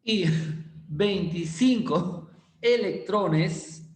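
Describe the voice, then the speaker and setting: A male chemistry teacher, giving a casual, playful class and teasing students as he slowly repeats quiz questions. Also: sits high. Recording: roomy echo.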